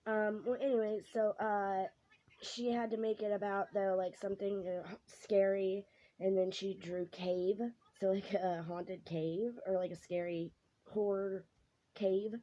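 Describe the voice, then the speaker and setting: A woman, hoarse from losing her voice, chatting casually to her viewers in a rambling way. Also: medium pitch, level tone, measured pace, dark tone. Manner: rambling, many ums and self-corrections. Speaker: vlogger. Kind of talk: casual chat to camera. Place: home kitchen while cooking. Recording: dull lo-fi home recording, faint noise.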